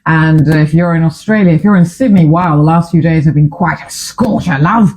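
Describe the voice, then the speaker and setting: A woman's voice: low voice, fairly quick, darkish tone, a little hiss or hum. A woman from Fiji raised in England, with an English accent, hosting a casual chat in a playful manner that is full of endearments. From born in Fiji, raised in England. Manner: chatty, playful, calls people darling. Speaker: podcast host.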